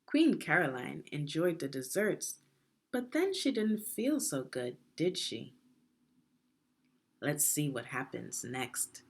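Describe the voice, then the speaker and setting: A woman reading a children's storybook aloud expressively, in a deliberate, teacherly manner. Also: sits low, sing-song, breathy edge. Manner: deliberate, teacherly, asks the listener questions. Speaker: education consultant reading a children's book.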